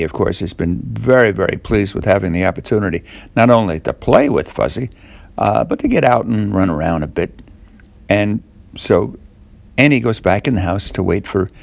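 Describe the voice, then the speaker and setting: A man telling a children's story off the cuff, in a halting way with little questions to the listener. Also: lowish voice, vocal fry, dry recording.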